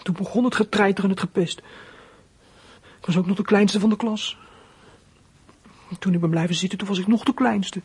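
An actor playing a sullen fourteen-year-old runaway boy in a radio play, muttering bitter thoughts to himself in a husky, breathy voice. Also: medium pitch, steady tone, normal speed, long pauses, a little mellow. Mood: weary, bitter. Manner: brooding inner monologue, muttering to himself.

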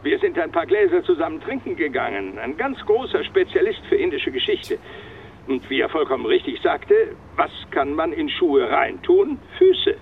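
An actor playing a stubborn, articulate and formal French police commandant in a German radio crime drama. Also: male voice, a little high, big pitch swings, moderate pace, gravelly, a little hiss or hum. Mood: explanatory. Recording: dull, band-limited phone-call sound, faint music.

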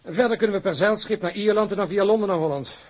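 High, husky ship's officer. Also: male voice, fast talker. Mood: practical, keen. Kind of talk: radio drama acting.

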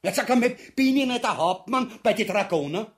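A puffed-up, foolish old-world captain in a radio farce, speaking broad Austrian dialect. His highish voice swings wildly as he blusters, repeats himself and swears with crude pomp. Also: lively intonation, a little fast. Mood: indignant boasting. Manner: pompous, bumbling, repeats himself, swears colourfully. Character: blustering, vain, crude, easily tempted ex-king and dragoon captain. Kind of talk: farcical radio play acting in broad dialect.

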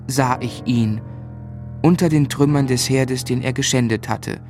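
A male actor plays a grown man recalling a tragedy in a radio drama. His mid-pitched voice is grave, shaken and measured, with a literary, dramatic delivery. Music runs clearly underneath. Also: close to an everyday voice. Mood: grief-stricken. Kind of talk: scripted literary narration in a radio play.

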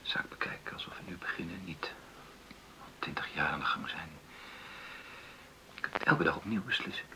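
An actor in a radio drama playing an earnest, conciliatory middle-aged husband who stammers and searches for words in a creaky voice. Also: male voice, extremely low pitch, fairly even intonation, normal speed, long pauses, in a whisper. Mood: coaxing, tender. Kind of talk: naturalistic radio drama dialogue.

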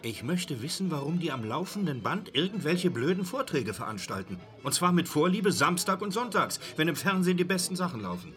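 An actor playing a brusque, grumbling and brazenly unbothered village innkeeper in a German radio drama, chatty and glib. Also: male voice, on the high side, very animated, fairly quick, few pauses, fairly bright tone, soft background music.

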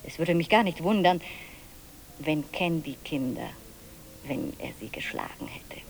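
A woman's voice: deep voice, expressive, measured pace, a little hiss or hum. An actress playing a snobbish, overbearing wife and mother in a radio drama, with a prim and theatrical manner. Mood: indignant disdain.

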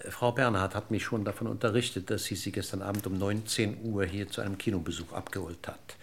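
Gravelly courteous, probing police inspector. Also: male voice, on the low side, slight background noise. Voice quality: gravelly, husky. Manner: formally polite, deliberate, needling questions. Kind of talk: German radio crime drama acting.

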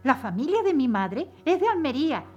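Actress as a high-voiced, pleading frightened wife. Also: female voice, big pitch swings, normal speed, highs cut off, soft background music. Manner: pleading, anxious. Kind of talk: audio drama acting.

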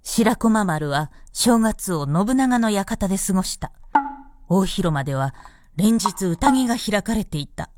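A woman's voice: mid-range voice, animated, measured pace, a little mellow, no room sound. A woman giving scripted narration for a radio drama.

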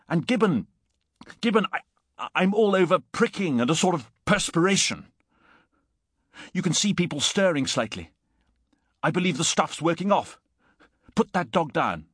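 A man voicing an anxious, flustered gentleman in an audiobook story, pleading urgently in exclamations. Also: a little high, very animated, a little slow, pauses often, breathy edge, dry recording, studio quality, pitched above the performer's own voice, heavily acted. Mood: panicked.

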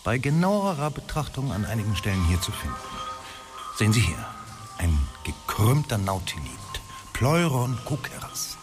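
A man's voice: lowish voice, big pitch swings, moderate pace, music in the background. An actor playing a stern, self-important male cave guide who lectures in a radio drama. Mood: solemn lecturing. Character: pompous, stern cave tour guide, man.

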